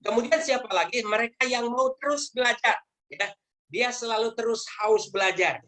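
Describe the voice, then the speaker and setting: A woman's voice: a little high, moderate pace, fairly bright tone. A woman leading a motivational network marketing training over a video call, speaking emphatically in a lecturing manner and posing rhetorical questions.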